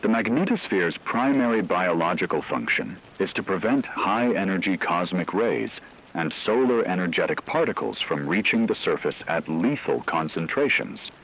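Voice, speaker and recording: A man playing a grave expert in a scripted radio disaster broadcast, speaking in a measured, technical and formal way. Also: deep voice, animated, fairly bright tone, slightly rough, heavily acted, rough recording. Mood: grave, clinical. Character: grave male expert briefing on a global disaster.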